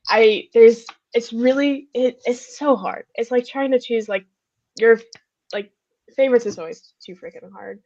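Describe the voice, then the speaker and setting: A woman's voice: a little high, measured pace, a little mellow, no room sound. A woman chatting casually as a podcast panelist in a group review, on slightly muffled audio. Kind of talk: casual group chat, film and musical review.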